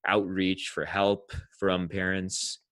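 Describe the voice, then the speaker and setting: Very deep-voiced male host. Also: level tone, slow, a bit bright, no room sound. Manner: musing, restarts, builds long questions. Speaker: podcast host. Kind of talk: casual interview questions.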